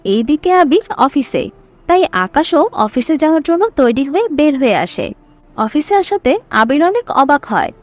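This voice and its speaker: A woman's voice: high-pitched, sing-song, measured pace. A woman reading scripted narration for a serial story.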